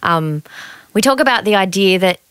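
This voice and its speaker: A middle-aged woman co-hosting a podcast, explaining ideas in a relaxed, chatty way. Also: mid-range voice, normal speed, clean tone, no room sound, studio quality. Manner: explanatory, chatty, uses hedges like "you know". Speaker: podcast co-host. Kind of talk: casual chat.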